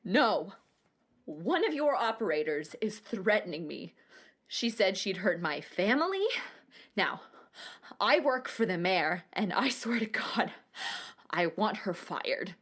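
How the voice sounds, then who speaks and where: A woman's voice: mid-range voice, sing-song, takes it slowly, a bit bright, exaggerated voice. A woman playing a frightened, furious and defiant phone-call victim in a radio play. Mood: furious, demanding.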